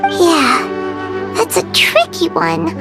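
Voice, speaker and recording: Very high, squeaky childlike fairy companion. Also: female voice, lively intonation, very slow, gravelly, exaggerated voice. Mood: reassuring. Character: small chirpy floating fairy companion, childlike, curious and kind. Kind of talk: video game character acting. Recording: loud music behind the voice.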